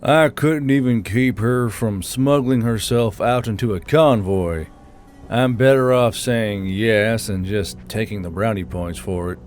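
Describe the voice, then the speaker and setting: A man's voice: lowish voice, measured pace, darkish tone, soft background music, natural voice. A man voicing an easygoing, offhand human man with a muttering delivery in an audiobook. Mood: resigned. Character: easygoing, casual human man, an office boss named Yul. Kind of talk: audiobook character dialogue.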